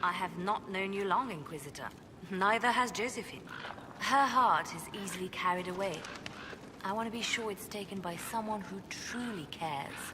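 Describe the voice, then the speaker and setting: An actress playing a guarded, protective woman in a video game, speaking in a measured, formal and pointed way. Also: medium pitch, noisy background, lightly acted. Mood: cool, probing. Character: guarded, protective woman, loyal friend and quiet watcher. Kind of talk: video game cut-scene acting.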